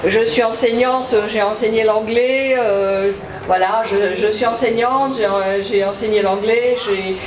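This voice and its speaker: An older woman from Egypt, introducing herself to an audience in a hesitant way, with many pauses. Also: on the high side, fairly even intonation, background noise. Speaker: congress participant introducing herself. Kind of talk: informal speech to an audience. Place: large echoey hall. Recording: echoey hall audio from far off.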